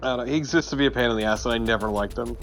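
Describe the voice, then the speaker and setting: A man's voice: mid-range voice, very fast, creaky, a bit bright, faint music behind, low-quality recording. A man giving casual video game commentary in a blunt, sweary, conversational way. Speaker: let's play co-commentator and gamer.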